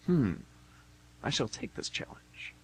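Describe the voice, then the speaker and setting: A man's voice: slow pace, fairly bright tone, low-quality recording. A man playing a nervous, kindly, awkward young man on a date in an amateur radio play, hesitant and earnest. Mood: curious, game. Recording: hissy, humming amateur radio broadcast.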